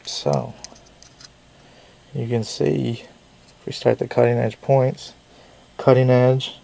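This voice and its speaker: A man's voice: medium pitch, creaky, warm tone, slight background noise. A man, a little sick and losing his voice, giving a rambling casual knife review with frequent self-corrections.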